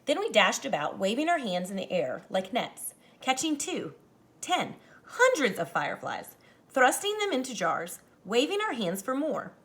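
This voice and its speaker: Woman, teacher, very lively pitch swings, expressive storybook read-aloud, talks directly to children. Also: normal speed. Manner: animated, dramatizes the story lines, addresses children directly. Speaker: teacher reading a picture book aloud to students.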